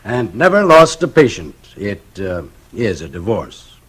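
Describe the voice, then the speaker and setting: A man playing a confident, businesslike lawyer in 1940s radio drama style, husky, brisk and wry. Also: lowish voice, very animated, a bit bright, exaggerated voice. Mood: wry, reassuring.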